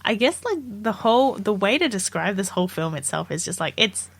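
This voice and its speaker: A woman's voice: mid-range voice, very animated, fairly quick, vocal fry, fairly bright tone. Chatty woman with a cold. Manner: chatty, rambling, laughs often. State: catching a cold. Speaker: podcast co-host. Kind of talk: casual film chat.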